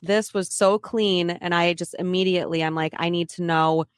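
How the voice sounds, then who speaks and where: A woman's voice: fairly low pitch, steady tone, moderate pace, clear, resonant tone, no room sound, clean studio sound. A woman hosting a podcast interview in a casual, chatty way, often restarting her phrases. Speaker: podcast host.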